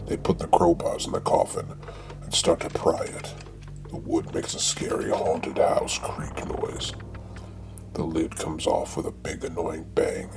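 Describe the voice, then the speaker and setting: Very deep, gravelly man narrating. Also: monotone, a little slow, noisy background. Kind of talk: scripted comic narration of an audio drama.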